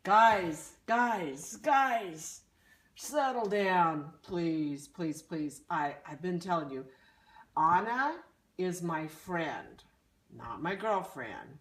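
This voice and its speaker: A woman doing a flustered young man, pitched lower than her own voice, stammering his words and then pushing back firmly. he sounds worn thin, trying to calm a crowd of over-eager friends. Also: extremely slow, roomy sound. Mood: exasperated. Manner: stammering, correcting others firmly.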